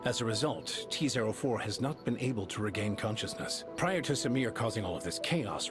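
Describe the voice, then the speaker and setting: An actor playing a composed, authoritative male scientist in a video game, explaining grim matters in formal, measured, articulate speech. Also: mid-range voice, lightly acted. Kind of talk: scripted game dialogue, formal exposition. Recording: game music behind the voice.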